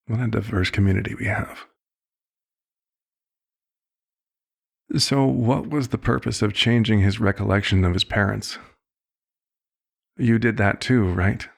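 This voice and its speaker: Male actor as fatherly vampire doctor for ASMR roleplay: low-pitched, gravelly, measured and formal, concerned therapist temperament. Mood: dry, thoughtful.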